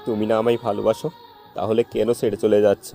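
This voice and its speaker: A dark, mellow male voice a man acting plays a jilted, heartbroken young lover. The lines are melodramatic love-story dialogue over a faint music bed. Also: lowish voice, level tone, fast talker, gravelly. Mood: pleading, hurt. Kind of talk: amateur audio love-story drama.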